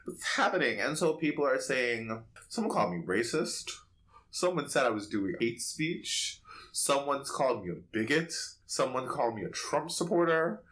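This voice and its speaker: A man's voice: mid-range voice, animated, moderate pace, fairly bright tone, rough recording. A man co-hosting a podcast, chatting casually and telling stories in a sassy, theatrical, slangy way. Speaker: podcast co-host. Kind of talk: casual chat and animated storytelling.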